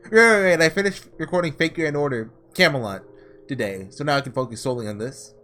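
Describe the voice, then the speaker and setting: A man doing a casual let's play, reading story text aloud and breaking off into excitable asides, with game music behind him. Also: on the high side, fast talker. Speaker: gaming video creator doing a blind playthrough.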